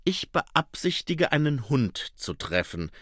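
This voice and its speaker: A man doing an earnest young editor with firm, stiffly correct phrasing, pitched a touch above the narration. His delivery is lively and dry. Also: takes it slowly, husky, dry recording, exaggerated voice. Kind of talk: audiobook reading.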